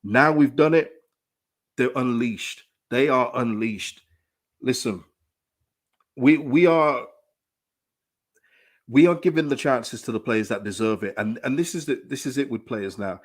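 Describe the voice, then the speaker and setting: A man, hoarse from a dry throat, giving emphatic live-stream commentary on his football club, full of restarts and repetition. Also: mid-range voice, measured pace, pauses often. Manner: animated, emphatic, repeats phrases, frequent restarts. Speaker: football fan channel host. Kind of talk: live-stream fan commentary, reading chat.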